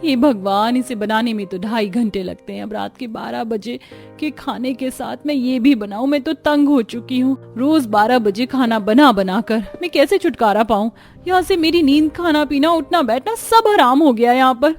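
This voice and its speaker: A woman's voice: fairly high pitch, moderate pace, soft background music, close to an everyday voice. A woman voicing a weary, exasperated young daughter-in-law in a cartoon story, complaining and pleading emphatically. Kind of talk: Hindi cartoon story acting.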